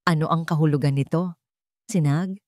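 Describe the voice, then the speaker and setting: A woman's voice: low voice, steady tone, normal speed, a little mellow, no echo, studio quality, natural voice. A woman voicing a cold, domineering old billionaire father in a story, commanding and contemptuous. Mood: calm menace.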